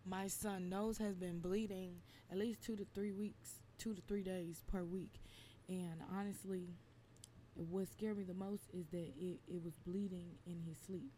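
A woman in her twenties, slightly raspy, venting bluntly and emphatically in a casual solo talk. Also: fairly low pitch, steady tone, unhurried, mellow, a little hiss or hum. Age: 20s. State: slightly raspy from lack of sleep. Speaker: podcast host.

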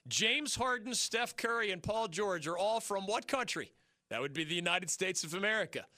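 A male sports radio host taking calls, talking fast and emphatic, pushing his opinions with rhetorical questions. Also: high voice, lively intonation, bright, forceful tone, no echo. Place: radio studio. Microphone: studio mic.

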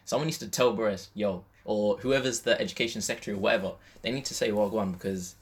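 Young male co-host, low pitch, informal slangy chat, restates points. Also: fast talker. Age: young adult. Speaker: podcast co-host, university student. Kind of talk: casual chat.

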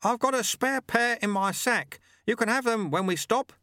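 A man voicing a brisk, practical sweeper who calls out as if over rushing wind, in an audiobook. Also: very high-pitched, pushed and bright, dry recording, studio quality, voice pushed up. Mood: brisk, loud.

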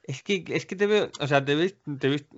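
A man's voice: fairly high pitch, normal speed. A man co-hosting a casual podcast chat, speaking in a blunt, joking, provocative way. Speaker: podcast co-host. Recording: dry muffled lo-fi audio.

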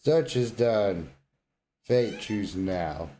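A man voicing a solemn undead horseman villain from a video game, reciting proclamations of doom in a measured, portentous way. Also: lowish voice, animated, warm tone, voice pushed down. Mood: ominous.

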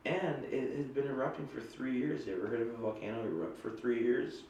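A woman's voice: extremely low pitch, fairly even intonation, fairly quick, a little mellow, a little hiss or hum. Stuffy-nosed chatty woman, roomy sound. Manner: chatty, rambling, playful. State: stuffy from a cold. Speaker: podcast co-host. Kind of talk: casual chat.

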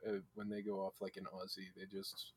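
A man guest on a podcast, chatting casually with a husky morning voice, giggly and self-deprecating. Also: low voice, monotone, fairly quick, slight background noise.

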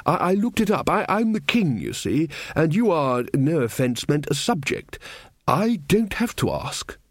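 A man's voice: on the high side, big pitch swings, a little slow, no echo, studio quality, voice pushed up, full character voice. A man doing a fussy stammering young king. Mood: earnest, fussy. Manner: pedantic, self-satisfied, stammering.

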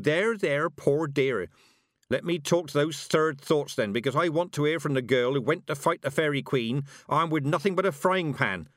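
A man's voice: on the high side, a bit bright, no echo, clean studio sound, voice pushed up. A man voicing a stern, blunt, proud old country witch woman with emphatic rustic speech, in audiobook style. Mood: brisk, stern. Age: older. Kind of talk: audiobook character acting.

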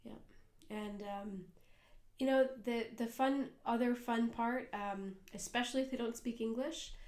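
A woman's voice: a little high, fairly even intonation, measured pace, darkish tone. A young American woman co-hosting a casual podcast, talking earnestly and at length with plenty of fillers and restarts. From United States. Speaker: podcast co-host and cafe worker. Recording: echoey room.

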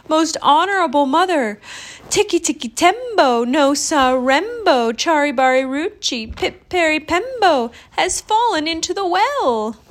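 A woman puts on a small storybook boy who is frantic and out of breath. The voice is pitched very high and calls out loudly, stretching words slowly as it pleads. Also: sing-song. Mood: panicked, breathless. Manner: shouting, gasping, pleading.

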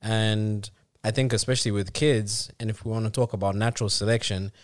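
A man's voice: fairly low pitch, fairly even intonation, brisk, no echo, clean studio sound. A man from Africa co-hosting a casual podcast, explaining at length with restarts and frequent "you know"s. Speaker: podcast co-host.

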